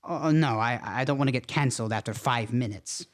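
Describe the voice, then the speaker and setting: A man's voice: mid-range voice, a little fast, natural voice. A man playing a genteel count and horror host, speaking with a theatrical, formal, grandiose manner. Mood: grandly theatrical.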